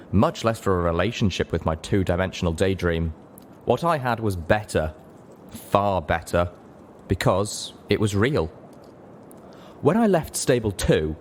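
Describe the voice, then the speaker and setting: Male storyteller, low register, measured dramatic narration, some background noise on a home recording. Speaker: narrator, reader of a fan fiction audiobook.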